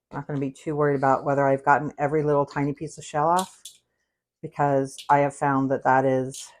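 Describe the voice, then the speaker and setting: A woman in her sixties with an American accent, chatting casually in a candid, meandering way, often interrupting herself as she hosts a cooking livestream. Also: very deep voice. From United States. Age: 60s. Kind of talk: casual rambling livestream chat while cooking.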